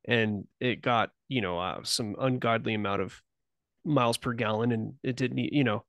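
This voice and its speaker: A man's voice: mid-range voice, moderate pace, a bit bright, no room sound, lo-fi sound. A man speaking as a podcast guest in casual chat, rambling and restarting sentences as he speculates. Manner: rambling, self-interrupting, speculative. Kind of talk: casual conversational interview.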